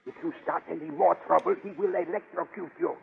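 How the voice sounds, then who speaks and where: A tinny 1930s radio recording of an older scheming scientist. The voice is high for a man and extremely creaky, urging and insisting like a conspirator. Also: fairly even intonation, brisk. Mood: urgent, conspiratorial. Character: urgent scheming scientist doctor, the hero's older ally. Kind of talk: 1930s radio serial acting.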